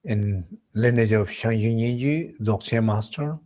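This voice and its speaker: A man leads a guided meditation as a teacher, speaking in measured short phrases with pauses between them. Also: fairly low pitch, mellow. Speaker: meditation teacher leading a retreat.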